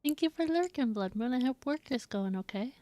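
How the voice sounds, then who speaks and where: A woman's voice: high-pitched, animated, a little fast, dark tone, no echo. A woman giving casual, chatty, giggly commentary on a live game stream. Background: game music.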